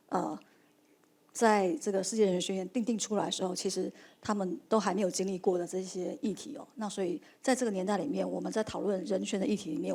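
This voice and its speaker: A woman on a panel talks fast in a husky voice. Her tone is mellow, with many fillers. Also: mid-range voice. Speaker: panel speaker. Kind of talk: panel talk.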